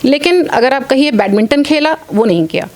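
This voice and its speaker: Woman in her late forties, higher-pitched voice. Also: animated, normal speed, a little mellow. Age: late 40s. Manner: earnest, mixes English phrases into speech. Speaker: interview guest, athlete and former air force officer. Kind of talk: interview answers.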